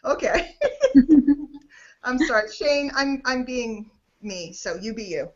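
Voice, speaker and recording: High-voiced teasing woman on a call. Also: expressive, takes it slowly. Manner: playful, teasing, giggly. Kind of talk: casual video call chat. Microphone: video call. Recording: muffled video call audio.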